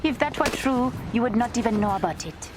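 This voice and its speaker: A quick-thinking young princess played by a woman speaks in a high voice. She is cheeky and crisp, guiding the action in a video game with music underneath. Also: expressive, natural voice. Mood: teasing. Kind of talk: video game cutscene dialogue.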